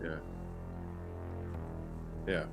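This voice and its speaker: A man chatting casually as a player in a tabletop roleplaying game, giving brief, hesitant replies. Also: drawn-out words. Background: background music.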